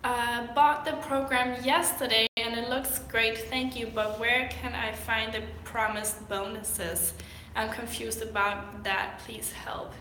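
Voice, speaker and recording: A young woman from Denmark, sick and clearing her throat, answering viewer questions in a rambling, chatty way with lots of fillers on a livestream. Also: a little high, bright, forceful tone. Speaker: fitness vlogger. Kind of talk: casual livestream Q&A. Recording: echoey room with faint noise.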